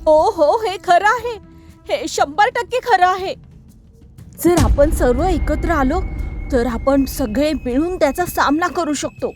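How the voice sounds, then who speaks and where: Brave, earnest female goat for a children's tale, high and emphatic, performed by a woman, music underneath. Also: lively intonation, slow, exaggerated voice. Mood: urgent. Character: brave, determined female goat who questions and rallies animals. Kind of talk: animal storybook character voice.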